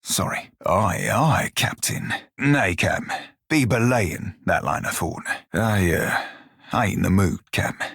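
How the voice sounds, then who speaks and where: An actor playing a gruff, wry pirate shiphunter in a video game, with a rough-edged voice and folksy sailor dialect. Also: male voice, deep voice, lively intonation, takes it slowly, fairly bright tone, no echo, studio quality, full character voice. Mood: subdued, reluctant. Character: salty blue-furred pirate shiphunter, former slave, gruff and wry. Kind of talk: video game character dialogue.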